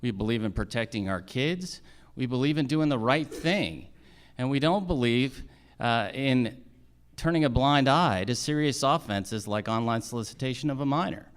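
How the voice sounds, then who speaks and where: Firm, smooth-voiced American male candidate. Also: medium pitch, expressive, moderate pace. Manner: firm, rhetorical repetition. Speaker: judicial candidate. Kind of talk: political stump speech.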